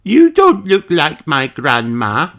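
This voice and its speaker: A man acts out a young girl pig from a children's storybook. The voice is pitched very high, bright with excitement and proud, with emphatic, childlike exclamations. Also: slow, exaggerated voice. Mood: theatrical.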